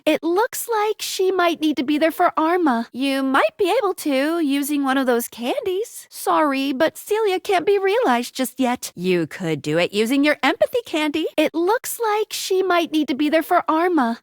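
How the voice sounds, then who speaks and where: A woman's voice: high-pitched, very animated, normal speed, few pauses, dry recording, studio quality. A woman voicing a perky, chatty, cheeky female guide character in a dating sim video game, with an upbeat, helpful, conversational manner. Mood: apologetic, encouraging.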